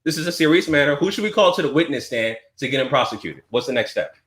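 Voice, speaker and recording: A man's voice: mid-range voice, lively intonation, fast talker, fairly bright tone, echoey room, slight background noise. A man in his forties hosting a livestream, arguing insistently and repeating himself over and over. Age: 40s. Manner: repetitive, insistent, talks over the caller. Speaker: live stream host. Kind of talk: heated argument on a livestream.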